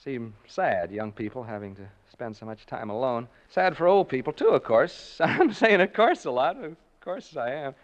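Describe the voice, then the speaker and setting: An actor playing a frightened American broadcaster unraveling in a haunted house, rambling and stammering in 1940s radio suspense style. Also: male voice, medium pitch, very animated, moderate pace, few pauses, close to an everyday voice. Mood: lonely, unnerved. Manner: rambling, stammering, nervous laughter. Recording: dull, lo-fi vintage radio recording.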